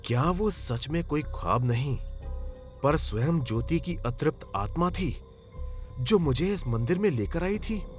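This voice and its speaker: Guy playing a police inspector, highish voice, thoughtful and questioning, some music behind. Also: expressive, a little slow. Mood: pensive, puzzled.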